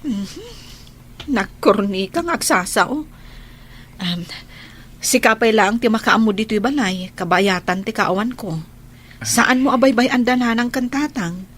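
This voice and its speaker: A caring woman in her thirties, the devoted eldest daughter of an Ilocano radio drama. Her voice sits fairly high, with an Ilocano accent. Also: measured pace, pauses often. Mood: concerned, protective.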